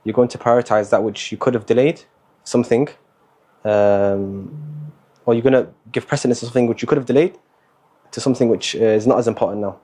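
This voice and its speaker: A young man, talking as a guest in a casual interview, relaxed and hesitant, with many fillers and Arabic words mixed in. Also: mid-range voice, fairly quick. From Somali, born in the Netherlands, raised in England. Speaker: guest, university student.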